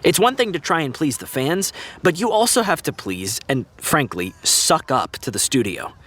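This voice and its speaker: An actor playing an easygoing, diplomatic TV writer who explains things in a reassuring, conversational way, in an audio drama. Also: male voice, on the high side, sing-song, moderate pace, a bit bright. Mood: earnest explaining. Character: easygoing, diplomatic TV writer consoling a young fan. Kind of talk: naturalistic audio drama dialogue.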